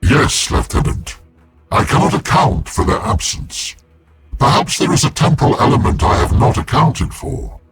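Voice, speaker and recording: An actor playing an ancient warrior-psyker sealed in a war machine, speaking formally and solemnly as the game's narrator. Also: male voice, on the high side, lively intonation, normal speed, close to an everyday voice. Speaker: librarian, narrator. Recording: with loud game music behind.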